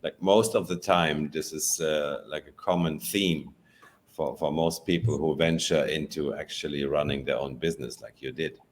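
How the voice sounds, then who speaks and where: A man hosting a podcast interview, asking relaxed questions and murmuring along while his guest talks. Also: a bass voice, moderate pace, a little hiss or hum. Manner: relaxed, lots of murmured backchannel. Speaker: podcast host. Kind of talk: casual interview conversation.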